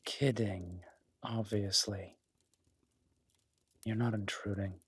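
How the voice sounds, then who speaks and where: Man acting as a husky, low-voiced reclusive cabin rescuer. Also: fairly even intonation, no echo, heavily acted. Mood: wry, relaxed. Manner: halting, dry teasing, reassuring. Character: gruff-kind solitary cabin-dwelling former EMT, awkward, teasing, protective. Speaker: voice actor in an audio roleplay. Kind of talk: intimate audio drama roleplay, naturalistic.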